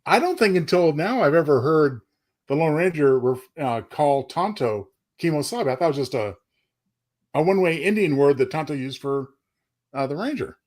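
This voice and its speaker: A man's voice: a little high, lively intonation, moderate pace, darkish tone. A man co-hosting a talk show, chatting casually and a little hesitantly as he shares personal remarks. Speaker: co-host.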